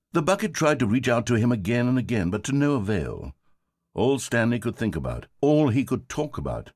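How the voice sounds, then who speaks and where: An actor playing a dry, sardonic male British storybook narrator in a video game, with a slightly husky voice. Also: fairly low pitch, darkish tone, no echo, heavily acted. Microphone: close studio mic. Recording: dry studio recording.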